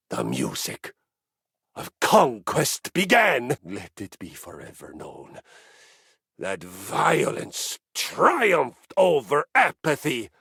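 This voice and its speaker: A pompous, violence-loving exercise machine from a video game. The voice is gravelly and husky, the delivery slow and grand, swooping from hushed lows to booming highs. It sounds dry and close, recorded in a studio. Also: male voice, dry recording, heavily acted. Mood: epic storytelling. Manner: theatrical, pompous, swings from low murmurs to booming shouts. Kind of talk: video game character acting.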